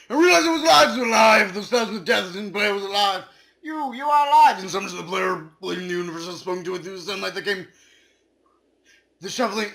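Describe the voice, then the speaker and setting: A man's voice: sits high, gravelly, bright, forceful tone. A man giving a comedic dramatic reading, reciting in an earnest, solemn way. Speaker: reader.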